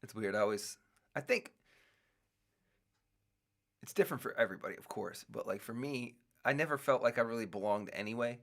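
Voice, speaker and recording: A man's voice: mid-range voice, lively intonation, fairly quick, creaky, pauses often, fairly bright tone. A middle-aged man hosting his own show, rambling casually with many restarts and fillers. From United States. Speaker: podcast host. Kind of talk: casual solo talk.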